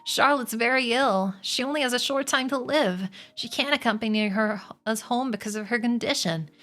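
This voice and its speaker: A woman's voice: normal speed, a bit bright, faint music behind, close to an everyday voice. Woman voicing a frantic young pig boy. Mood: pleading. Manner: high-pitched and pleading. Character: frantic young male pig, desperate and pleading. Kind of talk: storybook read aloud.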